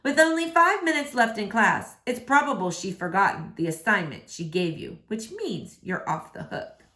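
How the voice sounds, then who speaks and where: A woman narrates a novel aloud in an expressive read-aloud style. Her medium-pitched voice moves up and down a great deal as she tells the story. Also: moderate pace. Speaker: reader of a novel in verse.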